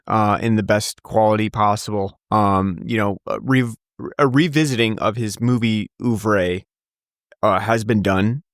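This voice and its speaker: A man's voice: lowish voice, dry recording, clean studio sound. A man hosting a casual podcast, rambling with many fillers and restarts. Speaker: podcast host.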